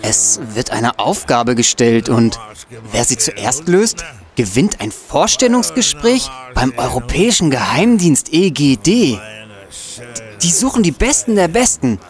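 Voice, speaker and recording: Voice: mid-range voice, big pitch swings, measured pace, faint music behind. An audio-drama performer playing a boastful, geeky student hacker, an eager and cocky explainer. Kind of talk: German audio drama acting.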